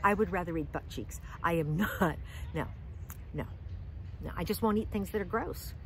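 An older woman telling funny food stories in a chatty, animated way, filmed outdoors in the woods on a close phone camera. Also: fairly low pitch, normal speed, slight background noise. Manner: chatty, animated, with lots of fillers and jokes. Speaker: vlog host. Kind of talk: casual comic storytelling.

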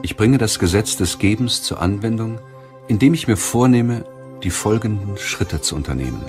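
A man narrating a self-help audiobook in a measured, deliberate way. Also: fairly low pitch, lively intonation, music in the background.

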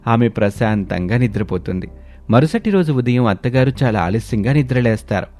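Male narrator for cartoon tales; mellow, dark tone, steady delivery. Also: mid-range voice, fairly quick, faint music behind. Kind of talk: scripted storytelling narration.

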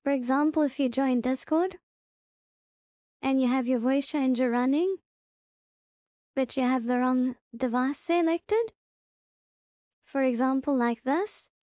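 A woman narrating a casual software tutorial, instructing step by step and repeating her reminders. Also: sits high, a little slow, pauses often, no room sound, studio quality. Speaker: tutorial presenter.

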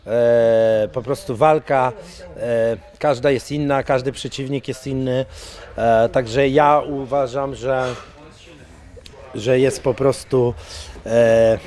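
A man in his forties, an MMA coach, talking casually in an interview at an indoor press wall and breaking into loud sponsor shout-outs. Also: mid-range voice, slow, long pauses. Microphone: handheld mic held by interviewer.

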